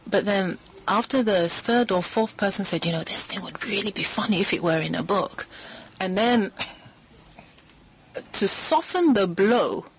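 A middle-aged woman, born in Britain, talking as an author guest on a radio talk show, telling her story in a lively way with frequent laughter. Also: mid-range voice, unhurried, slight background noise, low-quality recording.

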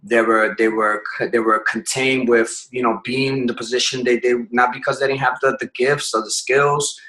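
A man from Puerto Rico, a podcast guest telling his life story in a reflective way, often pausing and restarting his phrases. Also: medium pitch, fairly even intonation, bright, forceful tone, poor audio.

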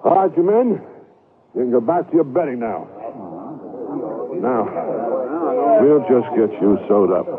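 Western sheriff, middle-pitched, terse drawl, unruffled authority, radio drama, noisy narrow-band recording. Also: male voice, sing-song, measured pace, pauses often. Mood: calm, terse. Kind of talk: old-time radio Western acting.

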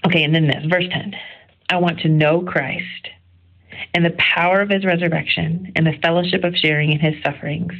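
A middle-aged woman with a low voice and a lot of creaky fry shares an informal devotional. Her delivery is earnest and conversational, with emphatic stresses. The audio is narrow and phone-like, like a voicemail. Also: fairly even intonation, moderate pace. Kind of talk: informal devotional reflection on scripture.

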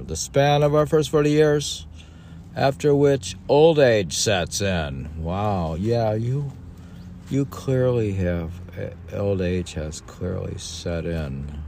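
Low creaky older man, musing slowly. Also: a little mellow, slight background noise. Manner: rambling, musing, self-deprecating, repeats passages. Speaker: podcast host and reader. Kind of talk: reading a book aloud with casual off-the-cuff commentary.

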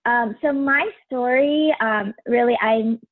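A woman in her forties from Taiwan, a podcast guest, talking in a soft, casual way with frequent ums and light laughs. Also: on the high side, takes it slowly. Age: 40s. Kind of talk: casual interview chat. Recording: tinny phone-like line, dry.

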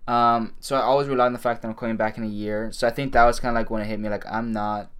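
A man's voice: on the low side, fairly even intonation, fast talker, fairly bright tone, smooth. A young man who grew up in Thailand, chatting casually with his brother about his childhood, hesitant with many restarts and frequent laughs. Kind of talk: casual chat.

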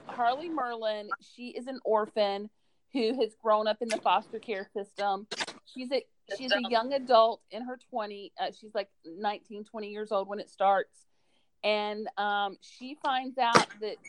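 A woman's voice: mid-range voice, a little hiss or hum, low-quality recording. A woman chatting casually and enthusiastically about books as a school librarian on a podcast. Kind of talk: casual book recommendation chat.

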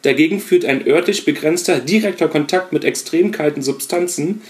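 A man's voice: a little high, moderate pace, a little mellow. A man from Berlin with no regional accent, chatting casually on a fan podcast and reading text aloud. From Berlin, Germany. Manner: laid-back, chatty, reads aloud.

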